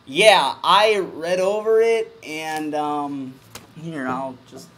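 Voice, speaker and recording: A man giving a mock-dramatic comedic reading of a formal document, with overblown emphasis and deadpan muttered asides. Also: fairly high pitch, takes it slowly, a little hiss or hum.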